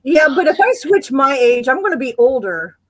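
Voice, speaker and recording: A woman's voice: high voice, big pitch swings, moderate pace, fairly bright tone. A woman, chatting casually and laughing easily. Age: 40s. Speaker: chat participant. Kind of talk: casual group chat.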